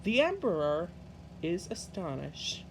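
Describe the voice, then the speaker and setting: A man reading a children's story aloud in an expressive, deliberate way with halting phrasing. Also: high-pitched, a little hiss or hum, rough recording. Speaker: storybook reader.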